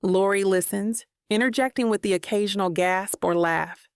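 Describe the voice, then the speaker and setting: A woman's voice: medium pitch, normal speed, clean tone, dry recording, clean studio sound. A woman reading scripted first-person romance fiction as an audiobook narrator.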